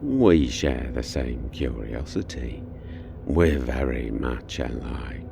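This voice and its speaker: A man playing a demonic presence inside a condemned boy murderer in an audio horror drama, taunting and contemptuous with a gravelly, menacing delivery. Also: animated, over music, heavily acted. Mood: accusing, contemptuous. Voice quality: very deep, gravelly. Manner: slow, deliberate, taunting, menacing.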